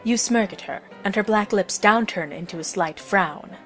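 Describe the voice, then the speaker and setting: A woman's voice: medium pitch, normal speed, fairly bright tone, faint music behind. A woman narrating a scripted story as the narrator of an audio drama.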